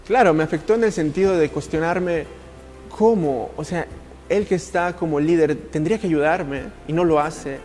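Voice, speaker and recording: A man from Italy speaking as a ministry coach in a podcast interview, earnest and passionate, with halting, repetitive phrasing. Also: a little high, a little mellow, soft background music.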